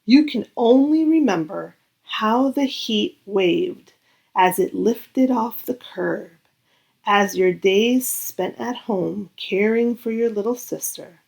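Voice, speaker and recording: Lady doing a kids book read-aloud, slow n gentle, bit of vocal fry, pauses for effect. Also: mid-range voice. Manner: gentle, deliberate storytelling delivery with dramatic pauses. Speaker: children's book reader.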